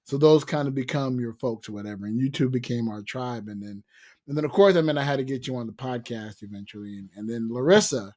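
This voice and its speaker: A man's voice: mid-range voice, quick pace, a little mellow. A man hosting a casual podcast interview, rambling and repeating words as he searches for what to say. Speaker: podcast host.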